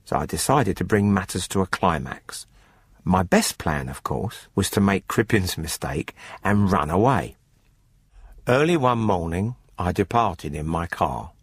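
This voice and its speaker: An actor playing a smug poultry farmer who coolly confesses to murder, narrating a radio drama in a measured, formal, drily self-satisfied way. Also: male voice, sits low, lively intonation, breathy edge, no room sound, full character voice. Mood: dry, calculating.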